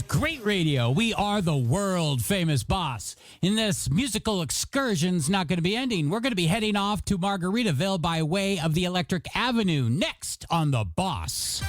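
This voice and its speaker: An American man working as a radio DJ, with a high voice that swings widely in pitch. He delivers upbeat oldies patter with a joking, self-mocking showman's flair. Music plays clearly behind him. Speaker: radio DJ and host. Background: pop and rock music.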